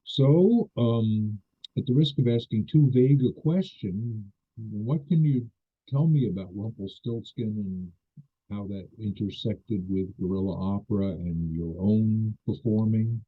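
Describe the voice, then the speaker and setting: Older man, husky, phone-line sound. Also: fairly low pitch, a little slow. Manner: polite, hesitant, apologetic, restarts phrases. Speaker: interviewer. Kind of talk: interview questions.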